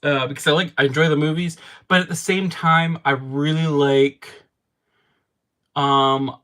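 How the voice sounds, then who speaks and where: A man's voice: fairly high pitch, fairly even intonation, sharp tone. Chatty man streaming from home. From Canada. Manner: chatty, rambling, quick to laugh at himself. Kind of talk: casual livestream chat answering viewer comments. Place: home game room.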